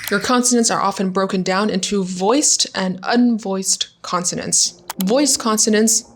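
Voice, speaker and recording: Slow-talking woman teaching pronunciation. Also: mid-range voice, slight background noise. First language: Vietnamese. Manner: slow, careful demonstration of sounds. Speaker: language teacher. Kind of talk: pronunciation lesson to camera.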